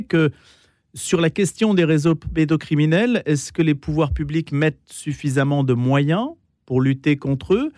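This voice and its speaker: A man interviewing a guest, asking measured, precise questions. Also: a little high, darkish tone, no echo, clean studio sound.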